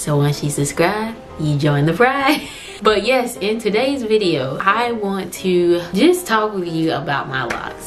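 A young woman chatting to the camera in her living room about her hair, lively and quick to act out what people said. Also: lowish voice. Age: young adult. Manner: chatty, animated, acts out quoted voices. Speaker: hair vlogger. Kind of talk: casual chat to camera. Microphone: camera on tripod at medium distance.

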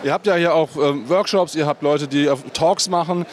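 A man's voice: on the high side, moderate pace. A middle-aged man reporting from a convention hall, interviewing guests with a handheld mic and chatting between questions with many fillers. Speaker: event reporter and host. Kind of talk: on-site event interviews and wrap-up to camera. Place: large indoor convention hall. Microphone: handheld microphone.

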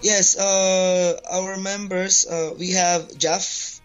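A man's voice: high voice, level tone, drawn-out words, clean tone. A man from the Philippines with a Filipino accent, a native Tagalog speaker, answering interview questions hesitantly. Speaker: band bassist, interview guest. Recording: dull lo-fi call audio, music behind. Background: music.

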